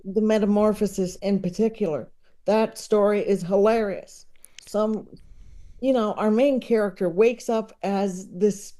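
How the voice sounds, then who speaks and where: A woman chatting casually as a podcast guest, enthusiastic and digressive, often halting as she works out her thought. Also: mid-range voice, dark tone. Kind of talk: casual chat about books and comedy.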